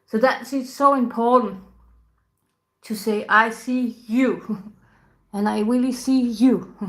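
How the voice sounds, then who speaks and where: Bright-voiced woman speaking softly. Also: on the high side, fairly even intonation. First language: Danish. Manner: soft-spoken, unhurried, laughs often, non-native grammar. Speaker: tantra teacher. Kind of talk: casual spoken talk to camera.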